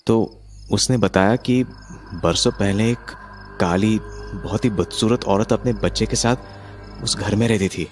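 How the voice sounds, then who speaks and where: A man telling a horror story in the first person, suspensefully, with drawn-out dramatic pauses. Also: on the low side. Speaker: narrator of a horror story, voicing its main character. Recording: music behind the voice. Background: music.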